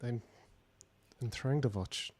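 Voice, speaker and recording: A man's voice: mid-range voice, takes it slowly, darkish tone, breathy edge. A man acting a sensitive, formally spoken elf-like mutant outcast in a radio play. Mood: hurt, prickly.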